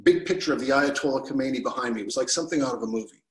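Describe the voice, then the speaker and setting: A man's voice: mid-range voice, rapid-fire, roomy sound. A middle-aged man from Canada, telling a personal story over a video call from his living room, gesturing with both hands. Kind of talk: personal storytelling to an online audience. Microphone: video call webcam.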